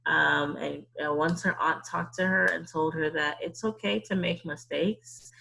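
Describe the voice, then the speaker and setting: A woman's voice: low voice, unhurried, gravelly, sharp tone, slightly rough, poor audio. A woman hosting storytime, chatting casually and encouragingly to children and repeating words for emphasis. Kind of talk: casual explanatory talk to children.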